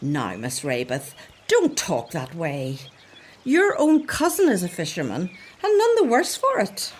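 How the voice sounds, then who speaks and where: A woman's voice: on the low side, wildly swooping pitch, unhurried, a little hiss or hum. An actress playing a bustling, bossy but affectionate older woman servant in a radio drama. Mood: fussing.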